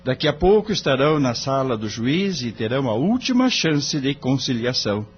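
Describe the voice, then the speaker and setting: An actor playing an earnest, nervous and humble young lawyer, polite and tentative, in an audio drama. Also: male voice, mid-range voice, very animated, normal speed, natural voice. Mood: earnest, respectful. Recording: dull lo-fi audio. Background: music.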